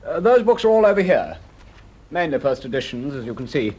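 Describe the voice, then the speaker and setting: A British man acting a smooth, unruffled suspect in a radio drama, suave and casually polite with a husky voice. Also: mid-range voice, very animated, fairly quick, a little mellow, poor audio. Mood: casually assured. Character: smooth, unruffled male suspect with an answer ready.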